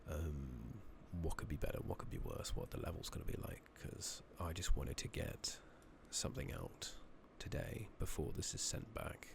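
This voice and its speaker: A man giving hushed, casual, rambling ASMR commentary while playing a video game, speaking softly into a close microphone. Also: a bass voice, very animated, moderate pace, creaky, few pauses. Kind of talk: soft-spoken ASMR gameplay commentary. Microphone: close USB condenser microphone. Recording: noticeable background noise, clicking.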